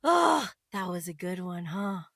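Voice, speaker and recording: An American woman chatting casually as an interview guest, expressive and chatty. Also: fairly low pitch, unhurried, gravelly, no echo, clean studio sound. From United States.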